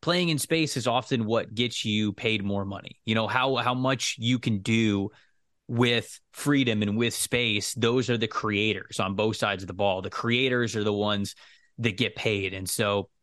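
A man's voice: lowish voice, measured pace, a bit bright, dry recording, clean studio sound. A man co-hosting a sports podcast, talking through football analysis casually and explaining his points with careful clarifications.

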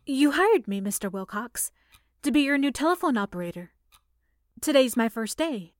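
A woman's voice: high-pitched, sing-song, normal speed, no echo, clean studio sound. A woman playing a polite, eager young new employee in an old-time radio play, courteous and a little tentative. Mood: nervous, deferential.

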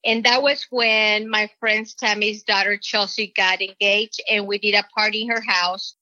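A woman's voice: mid-range voice, little pitch movement, bright, forceful tone, no room sound. Earnest woman with a Mexican accent. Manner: rambling, earnest, halting restarts. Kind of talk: personal testimony. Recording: dull lo-fi recording.

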